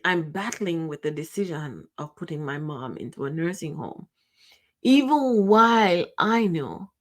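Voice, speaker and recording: A middle-aged woman with a Jamaican accent, hosting her own podcast and speaking in a personal, reflective monologue. She talks emotionally, pauses often, restarts her sentences and lets some of them trail off. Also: fairly low pitch. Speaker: podcast host.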